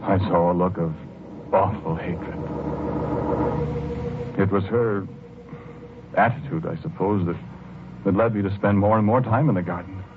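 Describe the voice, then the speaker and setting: Casting: timid, dreamy husband, low register, halting and wistful, vintage horror radio drama, tinny old recording. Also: male voice, background noise. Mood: brooding. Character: dreamy, timid husband obsessed with his lilies. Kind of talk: 1940s radio drama acting, first-person narration and dialogue. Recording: muffled old radio recording, music behind.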